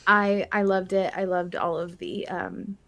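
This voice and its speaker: A woman's voice: mid-range voice, little pitch movement, unhurried, fairly bright tone. A woman hosting a podcast, chatting casually and enthusiastically as a fan. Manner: enthusiastic, gushing. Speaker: podcast host.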